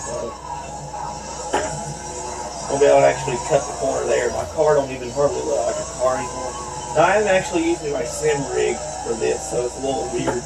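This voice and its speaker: A man's voice: mid-range voice, fairly even intonation, fairly quick, gravelly, music in the background. Scratchy-voiced American man gaming. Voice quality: slightly scratchy. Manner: folksy, colloquial. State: scratchy voice from shouting and allergies. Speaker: gaming channel host. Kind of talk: casual racing game commentary.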